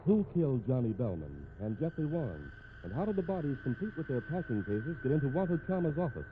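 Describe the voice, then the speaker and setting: Animated vintage male radio announcer. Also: medium pitch, fast, phone-quality sound. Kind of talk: 1950s scripted radio narration. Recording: thin, noisy old radio recording.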